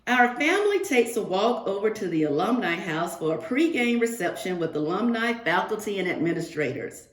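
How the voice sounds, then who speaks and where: A woman's voice: mid-range voice, moderate pace. Echoey woman reading aloud to children. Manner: expressive, addressing children with questions. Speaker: guest reader for a second-grade class. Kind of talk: read-aloud of a children's picture book with asides to pupils. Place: indoors, reading remotely to a class. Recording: roomy, echoing sound of a virtual class reading.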